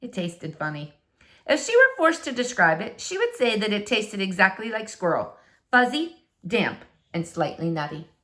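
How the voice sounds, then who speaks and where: A woman narrating a children's storybook aloud with expressive, dramatic, deliberate phrasing. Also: medium pitch. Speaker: storybook reader.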